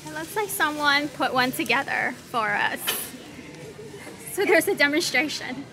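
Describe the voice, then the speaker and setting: A chatty woman from Hong Kong hosting a casual shopping vlog with an animated manner. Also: sits high, measured pace. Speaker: vlog host. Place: large retail store. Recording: busy store background noise.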